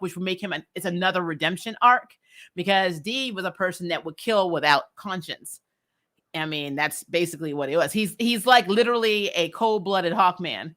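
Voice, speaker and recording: Casual female recap host, very bright forward voice, animated and chatty delivery. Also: mid-range voice. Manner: animated, laughs often while talking. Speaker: host of a TV show recap series. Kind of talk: casual, chatty episode review.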